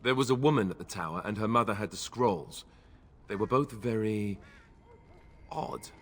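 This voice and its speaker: A man's voice: on the low side, moderate pace, a bit bright. An actor voicing a wry young male warden in a video game, dry and a little doubtful.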